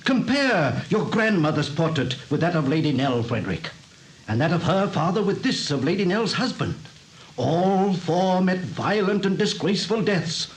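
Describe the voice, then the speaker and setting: A man's voice: sits high, low-quality recording. An actor playing an anxious, fatherly family doctor who gives earnest, worried warnings in old-time radio drama style. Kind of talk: 1930s radio acting. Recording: old radio broadcast with faint hiss.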